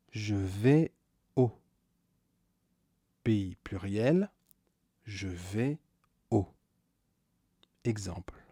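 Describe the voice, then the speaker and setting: A man teaching a scripted beginner grammar lesson, slowly repeating each key word and stressing it with a rise. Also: lowish voice, mellow, no room sound, studio quality. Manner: slow, deliberate, repeats key words with stressed rises. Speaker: French teacher.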